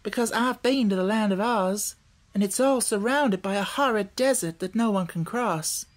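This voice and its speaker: A man's voice: high-pitched, normal speed, voice pushed up, lightly acted. A man voicing a cheerful, confident little girl with an earnest, matter-of-fact manner in a storybook. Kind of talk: audiobook character voice.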